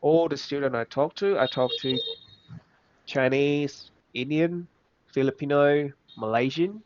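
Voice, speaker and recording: Young guy w a vietnamese accent, halting and restarting a lot, dry dull-sounding recording. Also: a little high, no room sound. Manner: halting, with many restarts and simple phrasing. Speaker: interviewee. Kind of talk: casual reflective chat.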